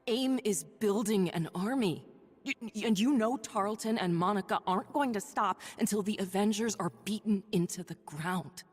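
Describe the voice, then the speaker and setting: An actress playing an ailing, stubborn woman doctor turned hero in a video game drama, speaking in a halting, confiding but resolute way. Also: medium pitch, long reverb tail, close to an everyday voice. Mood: angry, urgent. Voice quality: husky, breathy, creaky. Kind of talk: dramatic video game acting, audio log monologue.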